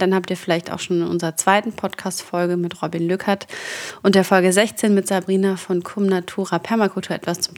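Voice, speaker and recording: Chatty woman hosting a garden podcast. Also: medium pitch. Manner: friendly, chatty, many fillers. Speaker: podcast host. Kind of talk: podcast interview. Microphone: video call.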